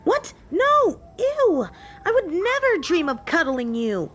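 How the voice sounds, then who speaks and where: A woman's voice: very high-pitched, big pitch swings, slow, a bit bright, full character voice. A woman playing a possessive, haughty female vampire in an audio roleplay, taunting and theatrical, with clingy endearments. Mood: indignant. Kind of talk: scripted audio roleplay acting.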